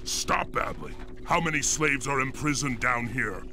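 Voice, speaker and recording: A man playing a defiant, commanding leader of an enslaved fantasy clan in a video game, rough-voiced and resolute. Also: medium pitch, lively intonation, unhurried, noisy background, natural voice. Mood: stern. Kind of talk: dramatic video game cutscene acting.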